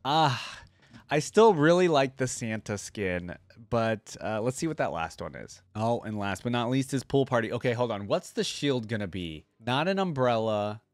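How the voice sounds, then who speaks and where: A man's voice: medium pitch, very animated, normal speed, no echo. A man giving casual reaction commentary, thinking aloud in informal, slangy language. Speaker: reaction video host.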